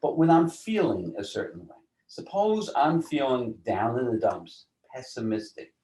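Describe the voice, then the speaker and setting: A man explaining ideas as an interview guest in a deliberate, teacherly way, often posing rhetorical questions. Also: medium pitch, sing-song, warm tone. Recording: roomy, echoing voice.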